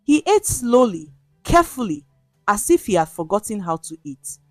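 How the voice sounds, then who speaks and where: A woman storyteller reading a scripted folktale in a dramatic, measured way. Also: medium pitch, big pitch swings, a little mellow, dry recording, soft background music.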